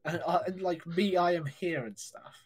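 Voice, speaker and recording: A man's voice: sits high, normal speed, slightly rough. A young man co-hosting a casual chat podcast, jokey and giggly as he swaps anecdotes. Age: young adult. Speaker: podcast co-host.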